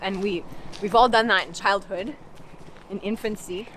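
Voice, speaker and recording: A woman's voice: mid-range voice, moderate pace, fairly bright tone, slight background noise, low-quality recording. Chatty Canadian-raised woman outdoors. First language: English. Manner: chatty, many "like" fillers and restarts. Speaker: Finnish language coach. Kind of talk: casual walking conversation. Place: outdoors in a park.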